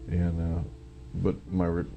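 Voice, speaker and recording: A man's voice: deep voice, monotone, measured pace, vocal fry, mellow. A middle-aged American man recounting a personal experience in a plain-spoken, matter-of-fact way, as a former military witness giving testimony. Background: plucked guitar music.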